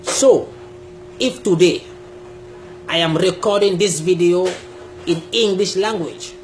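Slow, emphatic man. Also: high-pitched, faint music behind. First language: Bassa. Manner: deliberate, emphatic, gestures a lot. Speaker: YouTube creator. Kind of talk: direct-to-camera talk on spirituality. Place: small indoor room, seated on a sofa.

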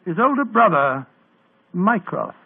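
An actor playing a bumbling, good-natured doctor sidekick, blustering and stammering in a 1940s radio drama. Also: male voice, high voice, big pitch swings, measured pace, creaky, slightly rough, full character voice. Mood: bluff. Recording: tinny old radio broadcast.

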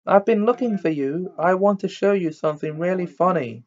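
Man doing a sneering teen bully, drawling, snobbish, lifted pitch. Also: moderate pace, close to an everyday voice. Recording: dull lo-fi recording.

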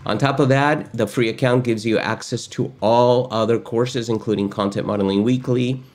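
This is a man in his fifties from Argentina, hosting a webinar with an upbeat, chatty delivery and a lowish voice. He leans on filler words and talks straight to the camera from a desk in his home studio. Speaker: host. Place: home studio with gaming chair.

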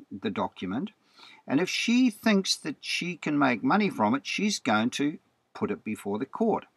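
A man's voice: medium pitch, fairly bright tone, husky, no echo. A man explaining legal terms over a slideshow, in a plain teaching manner with frequent okays and repeated words. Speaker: presenter.

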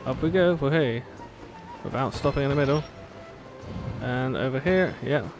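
A man's voice: mid-range voice, normal speed. Playful man commentating over game music. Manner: playful, sarcastic asides. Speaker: gaming video host. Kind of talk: casual gameplay commentary.

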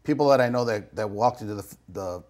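A man's voice: on the low side, a little fast, darkish tone. A middle-aged man hosting a studio interview, talking in a loose, rambling way full of restarts. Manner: rambling, many restarts and asides. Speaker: talk show host. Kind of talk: casual interview chat. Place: small TV studio with curtain backdrop.

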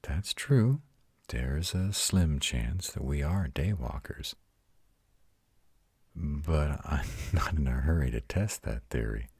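A man voicing a tender, protective male vampire in an intimate, hushed audio roleplay, soothing and husky. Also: expressive, slow, no echo, full character voice. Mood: reassuring. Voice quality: very deep, husky, often breathy whispering. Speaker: voice actor.

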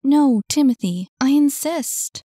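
A caring young woman, as a female reader puts her on, lifts her voice well above the narration and presses softly and earnestly. Her words come slowly and deliberately, and the recording is dry and close. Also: sits high, expressive, no room sound, pitched above the performer's own voice. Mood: insistent. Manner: soft, pleading, concerned.

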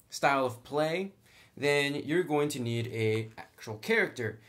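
A man hosting a casual tabletop game tutorial, talking in a chatty, informal, slangy way. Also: on the high side. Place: indoors at a tabletop.

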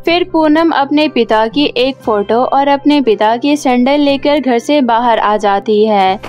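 A woman tells a story in scripted narration. Her voice is high, and music runs behind her as she reads. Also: darkish tone. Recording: music under the voice.

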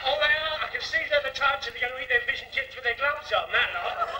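A man's voice: very high voice, fast talker, heavy background noise. A man playing a brash, saucy older woman, a pantomime dame, with cheeky, declamatory patter that bosses and coaxes the audience. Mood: saucy, teasing. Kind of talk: theatrical music-hall pantomime patter to an audience. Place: theatre stage with painted scenery. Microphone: stand microphone, picked up from the auditorium. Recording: echoey theatre, distant old videotape sound.

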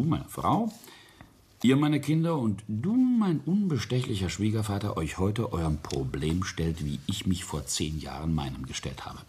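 An actor playing an arrogant middle-aged family patriarch in a radio play, deliberate and self-justifying, with a husky, gravelly voice. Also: male voice, sits low, heavily acted. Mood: cool, challenging. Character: arrogant, coldly defiant family patriarch confessing a murder.

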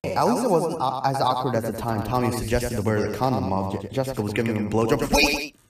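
A man's voice: mid-range voice, fast. Guy telling a casual story, super echoey, sounds far off from the mic. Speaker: storyteller in a replayed clip. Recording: very echoey, distant from microphone.

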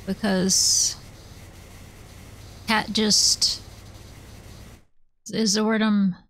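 A woman's voice: mid-range voice, level tone, extremely slow, a bit bright, no echo, rough recording. A woman streamer chatting casually with viewers while she plays, rambling and self-deprecating. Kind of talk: casual chat while gaming.

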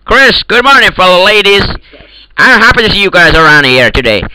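A man's voice: high voice, big pitch swings, brisk, sharp tone, smooth, no echo, rough recording. A man with an Eastern European accent trolling other players in game voice chat, boastful and in broken English, over a headset. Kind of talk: prank call banter in game voice chat.

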